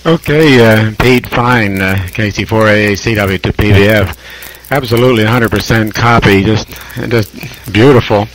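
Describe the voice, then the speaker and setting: American man, lowish voice, amateur radio operator, casual conversation, halting and reflective, long pauses, vintage shortwave radio sound. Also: low-quality recording. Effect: shortwave radio transmission.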